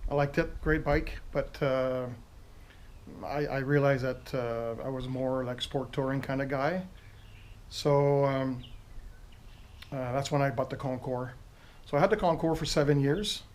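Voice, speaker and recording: A fifty-something man with a thick French Canadian accent talks at an ordinary middle pitch, easygoing and rambling, full of fillers and restarts as he works out what to say. English is his second language. A faint hiss sits under the recording. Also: steady tone, measured pace, darkish tone. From Quebec, Canada. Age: 50s. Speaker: retired military motorcycle owner. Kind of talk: casual home video review.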